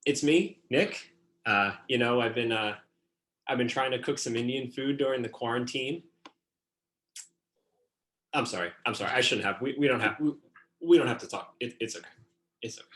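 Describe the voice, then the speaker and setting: A man's voice: mid-range voice, normal speed, pauses often, a bit bright, close to an everyday voice. A man playing a nervous, eager-to-please young boyfriend in a staged reading, hesitant, stammering and apologetic. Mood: nervous, awkward. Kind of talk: staged reading over video call.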